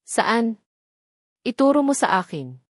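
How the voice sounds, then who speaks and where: A woman's voice: a little high, big pitch swings, takes it slowly, dry recording, studio quality, full character voice. Woman acting a firm, professional young doctor. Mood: concerned, calm.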